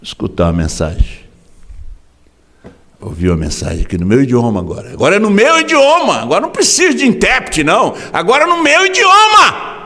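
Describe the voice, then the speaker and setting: A man preaching a sermon as pastor in a Brazilian Portuguese accent, impassioned, swinging from hushed drops to sudden shouts. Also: sits high, huge pitch swings, a little fast, big-room sound. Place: large church hall.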